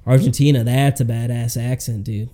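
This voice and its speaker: Casual man with a very dark, mellow voice. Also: medium pitch, fairly even intonation, moderate pace. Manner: slangy, rambling, says "man" and "dude" often. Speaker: podcast co-host. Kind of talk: casual podcast chat.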